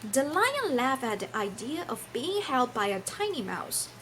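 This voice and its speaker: A woman reads aloud to children in a high, hugely varied voice. She is a non-native English speaker with a theatrical, teacherly manner, taking her time and putting questions to her listeners. There is some faint noise behind her. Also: very animated, a little slow, fairly bright tone. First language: not English. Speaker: storyteller reading a picture book to children.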